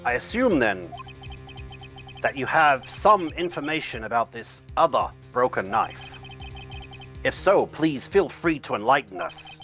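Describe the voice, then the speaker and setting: A man's voice: medium pitch, very animated, measured pace, a bit bright, faint music behind. A man voicing a fussy elderly judge in a live fan dub of a game, with a theatrical, swooping delivery. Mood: puzzled. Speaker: fan voice actor.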